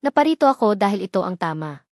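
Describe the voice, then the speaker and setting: A woman's voice: medium pitch, big pitch swings, fast, a little mellow, no room sound, studio quality, natural voice. A woman voicing a remorseful, polite young billionaire man in a dramatic story, solemn and pleading. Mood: remorseful, hopeful.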